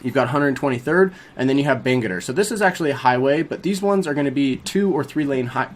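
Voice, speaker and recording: A man's voice: mid-range voice, lively intonation, a little hiss or hum. A young man in a small home studio, talking viewers through a map in an upbeat, casual way, a little sniffly from a cold. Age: young adult. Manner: upbeat, fast-talking, addresses viewers as guys. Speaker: real estate agent. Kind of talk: casual explainer vlog.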